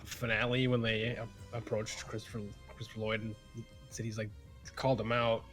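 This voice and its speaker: A man's voice: on the low side, flat delivery, moderate pace, a bit bright, soft background music. A man in casual podcast conversation, with a wry, joking way of talking. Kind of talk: casual chat.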